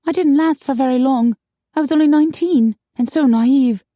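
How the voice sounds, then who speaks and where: Audiobook casting: woman performer as sweet, wide-eyed young vampire woman, high girlish voice, emotional, very dry sound. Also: normal speed, warm tone, no room sound, lightly acted. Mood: tipsy, confiding. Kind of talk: audiobook character acting.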